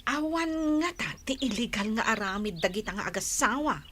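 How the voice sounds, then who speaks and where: A woman's voice: mid-range voice, expressive, brisk, background noise, lightly acted. An actress with an Ilocano accent playing a whiny, envious village woman who grumbles indignantly in a radio drama. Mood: envious, scornful. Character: envious, sulky village woman who grumbles about her rich neighbours. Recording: music bed behind the voice.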